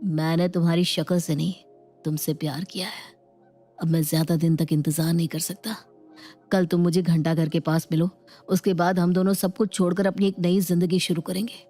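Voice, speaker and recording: Lady doing a smooth young guy romancing someone online, mellow and lowish, sweet talking, cartoon story. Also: flat delivery, normal speed, soft background music, lightly acted. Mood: persuasive, pleading. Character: smooth-talking young male online suitor, flattering and insistent. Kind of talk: dramatized Hindi moral story acting.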